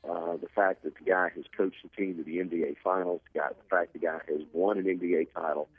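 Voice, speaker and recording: A man with a very deep, rough-edged voice gives sports analysis as a guest. He makes his points emphatically and restates them. The sound is narrow, like a telephone, and quite dry. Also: fairly even intonation, fast talker, no echo. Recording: narrow phone-like line.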